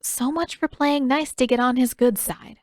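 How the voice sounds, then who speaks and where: A woman's voice: high-pitched, steady tone, moderate pace, a little mellow, dry recording, studio quality, voice pushed up, close to an everyday voice. A woman voicing a spirited, stubborn, sarcastic teenage girl in an audiobook story. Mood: annoyed.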